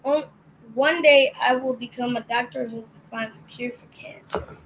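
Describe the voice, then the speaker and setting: Slow, careful young reader. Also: female voice, high-pitched, fairly bright tone, a little hiss or hum, rough recording. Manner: careful reading, occasional stumbles on words. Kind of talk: slow read-aloud.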